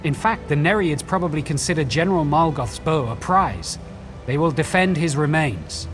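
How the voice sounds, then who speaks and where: Fantasy game quest-giver, male actor: scholarly and wary, fairly high voice, formal measured explanations, light music underneath. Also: expressive, lightly acted. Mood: measured, explanatory. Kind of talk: video game dialogue, explanatory. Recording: faint music behind.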